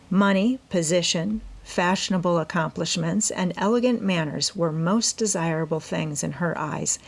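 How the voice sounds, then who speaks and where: A woman's voice: lowish voice, vocal fry. A woman narrating a classic novel as a scripted audiobook.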